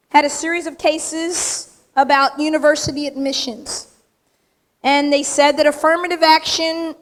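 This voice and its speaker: Emphatic woman at an awards podium, high and a touch raspy, direct and punchy. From United States. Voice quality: slightly raspy. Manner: straight-talking, emphatic, punchy short phrases. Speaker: award recipient giving an acceptance speech. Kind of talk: acceptance speech to a live audience. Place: large event room with audience.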